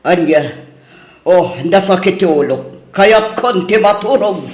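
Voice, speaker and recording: Blunt, snobbish Ilocano matriarch for radio drama; drawn-out delivery, roomy echo. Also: female voice, on the low side, lively intonation, a little mellow. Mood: cold, disdainful. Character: blunt, disapproving, snobbish mother of grown son. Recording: echoey room.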